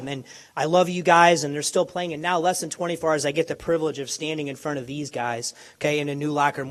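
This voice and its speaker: A man from Minneapolis, a college basketball coach giving a press conference speech with a hoarse, worn voice. He talks eagerly into a microphone, stumbling and restarting his sentences. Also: fairly high pitch. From Minneapolis, USA. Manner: eager, halting, many restarts. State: hoarse, lost voice. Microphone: handheld or podium microphone.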